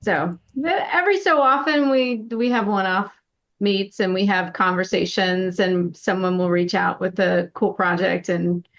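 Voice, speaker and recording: A woman's voice: mid-range voice, a little slow, dull audio. A woman, former pop singer, chatting casually as a podcast guest. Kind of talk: casual interview chat.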